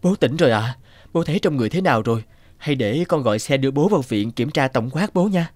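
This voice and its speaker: A man's voice: medium pitch, lively intonation, quick pace, a little mellow, natural voice. Earnest young man voiced by an actor. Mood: concerned. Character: earnest, dutiful young man, boyfriend and son. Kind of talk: animated drama voice acting.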